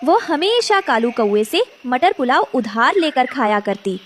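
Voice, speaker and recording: Casting: female cartoon story narrator, very high voice, highly animated, dramatic. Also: a little slow, a little hiss or hum.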